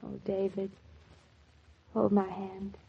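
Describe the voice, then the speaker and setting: A woman's voice: mid-range voice, completely flat delivery, slow pace, gravelly, slightly rough, heavily acted. A woman acting an anxious, inquisitive, tender young newlywed wife in a 1940s radio drama. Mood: earnest, moved. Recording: dull vintage 1940s radio recording.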